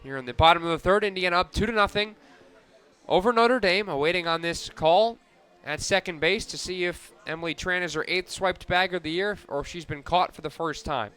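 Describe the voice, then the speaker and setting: Bright, clear-voiced male play-by-play announcer. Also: high voice, fairly quick. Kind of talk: live softball play-by-play commentary.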